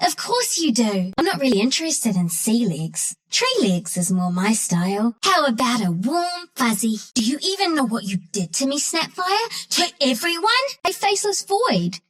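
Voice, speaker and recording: A woman's voice: sits high, fairly bright tone, exaggerated voice. A woman voicing a scrappy, mischievous young female forest critter in a video game, with a New Zealand accent and a cheeky, cocky, slangy way of talking. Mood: chirpy. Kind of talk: video game character voice lines.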